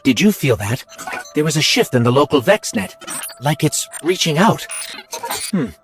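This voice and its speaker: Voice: mid-range voice, sing-song, moderate pace, over music. An actor playing an observant, composed mission-support ally in a video game, businesslike with some concern. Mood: puzzled. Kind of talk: video game character dialogue.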